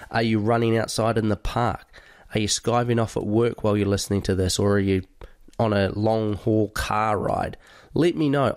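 A man hosts a podcast, his nose blocked up from a cold. His voice sits a little low and he talks in a chatty, upbeat way full of casual slang. The recording is dry and close, with no room sound. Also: a little mellow, no room sound. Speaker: podcast host.

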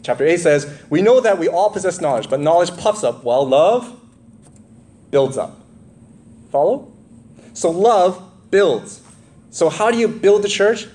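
Male preacher, slightly high voice with big pitch swings, explaining scripture and checking in with listeners, light noise behind. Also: expressive, unhurried, long pauses, a little mellow. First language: English. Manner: asks the congregation questions, frequent check-in tags like "right?" and "okay?". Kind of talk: expository sermon.

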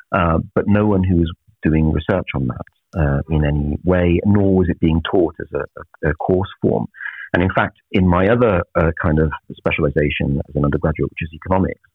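A middle-aged man from Naples, a professor answering interview questions in a measured, reflective way with many filler pauses. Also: deep voice, slightly rough, no echo. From Naples, Italy. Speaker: professor, guest. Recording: tinny phone-like line.

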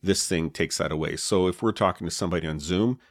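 A man with a low voice, co-hosting a podcast and explaining his gear in a relaxed way, with many fillers, on a dynamic mic with a pop filter. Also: quick pace, dry recording. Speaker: podcast co-host. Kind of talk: casual chat about podcast gear. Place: home podcast setup. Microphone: dynamic podcast mic with pop filter and foam cover.

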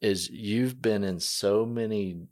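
A man hosting a casual interview, speaking conversationally in halting phrases as he introduces and questions a guest. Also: lowish voice, a little mellow. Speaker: podcast host, interviewer.

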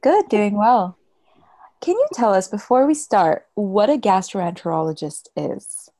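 Woman talk show host, gravelly voice, mellow tone, dry close sound. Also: mid-range voice, measured pace, dry recording. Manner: scripted intro then relaxed questions. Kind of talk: interview.

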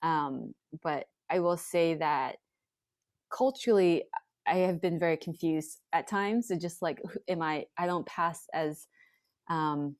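An American woman raised in Hong Kong, sharing her personal story as a podcast guest in a thoughtful, halting, searching way. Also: deep voice, no echo, studio quality. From born in China, raised in Hong Kong in an American family. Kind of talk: reflective personal storytelling in conversation.

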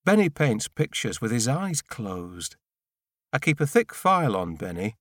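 Male audiobook narrator, mid-range pitch, measured first-person storytelling, deliberate short phrases, dry studio-like sound. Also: sing-song, fairly bright tone, no echo.

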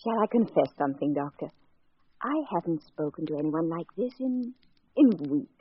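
Husky, lilting young lady of the manor. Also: sits low, animated, lo-fi sound. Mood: wistful, coaxing. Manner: genteel, coaxing, halting. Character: lonely, nervous, gracious young lady of the manor. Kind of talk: 1940s radio acting. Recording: thin, band-limited vintage 1940s radio broadcast.

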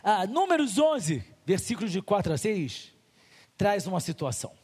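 A man's voice: high voice, sing-song, moderate pace, darkish tone, slightly rough, big-room sound. A male pastor with a Brazilian Portuguese accent preaching a sermon in a church, full of rhetorical questions and acted-out little dialogues.

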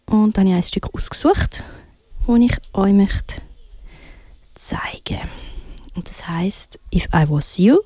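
A woman's voice: mid-range voice, expressive, slow, long pauses, mellow, no room sound, whispered, clean studio sound. A woman with a Swiss German accent presenting music casually and unscripted on radio, often hesitating as she searches for words.

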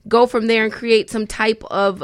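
A woman's voice: fairly high pitch, flat delivery, normal speed, no echo. Dry-sounding woman in casual radio chat. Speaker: radio show co-host.

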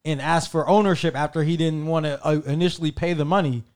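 A man's voice: on the high side, fairly even intonation, quick pace, darkish tone, clear, resonant tone. A man hosting a podcast, chatting casually and laughing while setting up gear in a small closed room. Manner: laid-back, laughs often, fiddles with gear while talking. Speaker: podcast host. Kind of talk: casual chat before recording. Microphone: headset studio mic.

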